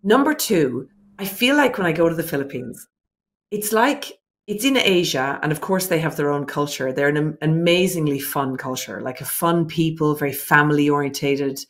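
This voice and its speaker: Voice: medium pitch, normal speed, fairly bright tone. A business owner answering interview questions earnestly and reflectively, working through ideas point by point.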